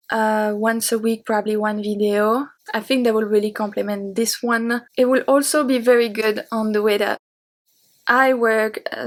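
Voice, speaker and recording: Woman, 30s, French accent, native French. Chatty YouTube host answering questions. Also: on the high side. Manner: chatty and open, with light laughs. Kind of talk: casual Q&A talking to camera.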